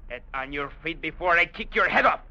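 An actor playing a brutal, arrogant captain who barks orders and sneers threats in a 1950s radio drama. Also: male voice, a little high, animated, brisk. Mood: bullying rage. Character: brutal, bullying trading-post captain, menacing and arrogant. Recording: dull vintage radio broadcast.

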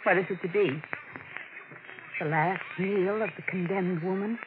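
An actress playing a frightened, distraught wife in a 1940s radio drama, with a halting, breathless, stammering delivery. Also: female voice, fairly low pitch, steady tone, lightly acted. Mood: shaken, accusing. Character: frightened, distraught young wife suspecting murder. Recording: noisy, muffled vintage radio recording.

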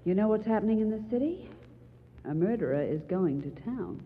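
A woman's voice: mid-range voice, expressive, a little fast, close to an everyday voice. Woman playing wry sidekick on noisy old radio. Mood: polite, wry. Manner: polite, quipping. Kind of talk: 1940s radio drama acting. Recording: tinny, echoing, very noisy 1940s radio recording.

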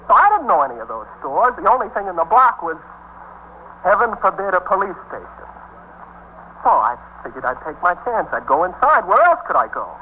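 An actor playing a cornered, self-pitying small-time drug dealer from the streets, rambling and griping in a 1950s radio drama. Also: male voice, a little high, lightly acted. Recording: muffled 1950s radio recording, faint hum.

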